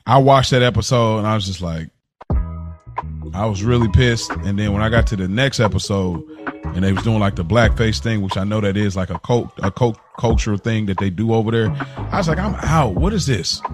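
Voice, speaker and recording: A man's voice: on the low side, fairly quick, over music. A man co-hosting a podcast, chatting casually in informal slang. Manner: informal, slangy, often says bro and like. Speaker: podcast co-host. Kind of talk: casual chat.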